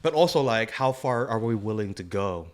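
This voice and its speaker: A man's voice: lowish voice, lively intonation, normal speed. A man bantering casually as a podcast co-host in a joking, slangy way.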